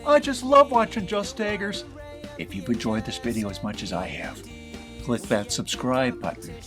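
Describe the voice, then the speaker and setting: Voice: medium pitch, very animated, moderate pace. A scripted channel outro delivered in a theatrical manner. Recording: outro clip over loud music.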